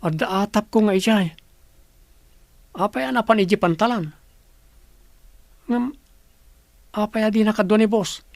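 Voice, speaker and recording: An actor playing a brisk, scheming character in a Philippine radio drama. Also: mid-range voice, no echo, lightly acted. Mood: urgent.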